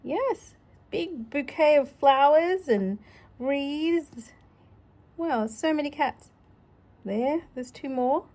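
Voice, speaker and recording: A woman's voice: high voice, animated, slow, pauses often, a little mellow. A woman reading a picture book aloud to a child, playfully exclaiming and chatting about the pictures. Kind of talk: animated read-aloud with chatty asides.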